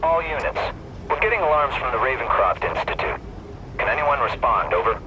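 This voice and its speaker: A man's voice: medium pitch, sing-song, brisk. A man playing an official, urgent police dispatcher in a video game, clipped and formal over a radio. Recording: noisy police radio transmission.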